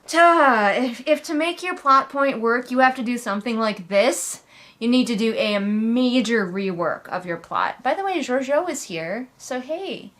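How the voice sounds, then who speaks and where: A woman with a fairly high voice hosts a casual readalong vlog. She comments with comic exasperation, leaning hard on her words and keeping up a sarcastic edge. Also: a bit bright. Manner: acts out characters in mocking asides. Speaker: host of a book readalong vlog. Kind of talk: casual comedic commentary on a book.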